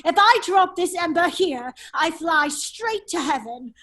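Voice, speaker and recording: Woman acting a dandy nobleman hero in a radio play, really high voice, slow teasing drawl, chuckly. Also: lively intonation, a bit bright, heavily acted. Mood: playful menace. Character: foppish, laughing English nobleman, a cunning hero in disguise. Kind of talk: amateur radio play table read. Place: remote virtual table read.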